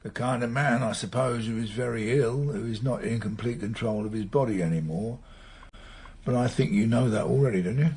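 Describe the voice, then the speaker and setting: An actor in a radio drama playing a patient police detective, measured and coaxing as he questions someone. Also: medium pitch, a little mellow, lightly acted. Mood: calm, sympathetic. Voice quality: very raspy, breathy. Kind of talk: naturalistic radio drama dialogue.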